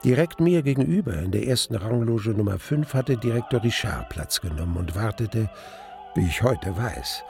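An actor narrating a radio play in character as a brooding operagoer, deliberate and foreboding in delivery. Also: male voice, medium pitch. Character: brooding male operagoer recounting a dreadful night. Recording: music clearly behind the voice.

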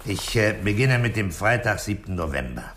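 A calm bossy detective, low voice, short firm sentences, old radio play. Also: male voice, a little hiss or hum. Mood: deliberate. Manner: terse, commanding, unhurried, deliberate. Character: calm, commanding, authoritative police inspector. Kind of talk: 1960s German radio drama acting.

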